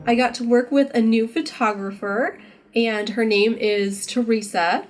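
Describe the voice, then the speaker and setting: A woman's voice: a little high, steady tone, a little slow, darkish tone. Enthusiastic young-sounding woman vlogger over music. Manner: informal, enthusiastic, frequent "like". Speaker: vlogger and costume maker. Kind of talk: casual vlog chat.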